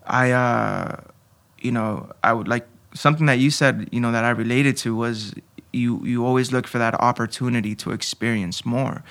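Gravelly young man from Honduras. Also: medium pitch, steady tone, moderate pace, a bit bright. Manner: candid, searching, with many restarts. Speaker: podcast guest. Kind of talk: conversational interview.

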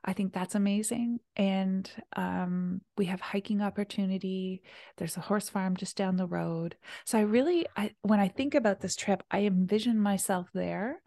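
A woman hosting a casual conversation, chatty and enthusiastic, sometimes dropping to a soft near-whisper. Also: medium pitch, normal speed, creaky, no echo, clean studio sound. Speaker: retreat host.

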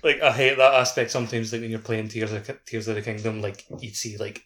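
A man's voice: on the low side, flat delivery, a little fast, fairly bright tone. A man chatting casually and very informally, peppering his talk with filler words like a friend in conversation. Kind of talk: casual chat.

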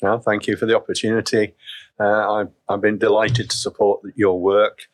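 Middle-aged man, faint Welsh accent, low voice, husky tone, measured interview guest. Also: flat delivery. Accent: faint Welsh, mostly neutral. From South Wales. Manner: measured, with frequent restarts and fillers. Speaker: chief executive guest. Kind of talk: reflective interview talk.

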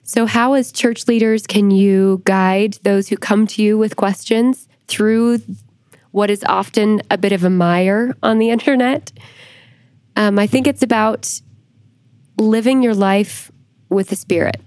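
A woman speaking as a church historian guest on a podcast, explaining things in a measured, deliberate manner. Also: medium pitch, steady tone, darkish tone, dry recording.

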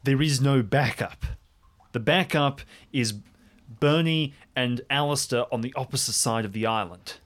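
A man's voice: medium pitch, unhurried, pitched above the performer's own voice, close to an everyday voice. A man playing an earnest, urgent ranger trainee who speaks with commanding emphasis in a tabletop game. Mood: firm, insistent.

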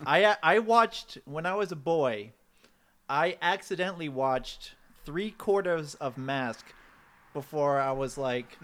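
Casting: female podcast host, very animated intonation, joking casual banter. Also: deep voice, slow pace.

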